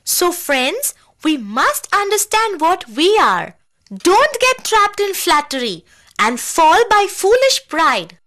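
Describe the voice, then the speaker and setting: A woman's voice: extremely high pitch, very animated, takes it slowly, a bit bright. A woman presenting scripted moral lessons as host of a children's show.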